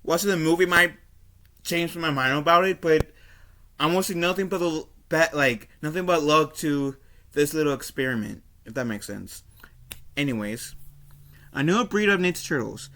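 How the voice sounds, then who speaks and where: A man giving casual opinion commentary as a video host, halting and often repeating and rephrasing himself. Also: a little high, a bit bright. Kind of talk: casual opinion commentary, reading an article aloud.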